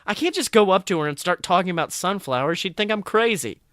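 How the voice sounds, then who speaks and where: A man's voice: sits high, animated, fast, fairly bright tone, no room sound, clean studio sound, voice pushed up. A man voicing a snobbish, sulky young woman in a story, exasperated and dismissive.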